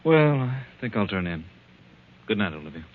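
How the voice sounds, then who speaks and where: A cold, evasive husband in a 1940s radio melodrama. His low voice moves widely in pitch and turns impatient. It sounds narrow and tinny, like an aged broadcast. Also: sing-song, normal speed. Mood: evasive, weary. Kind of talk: 1940s radio drama acting.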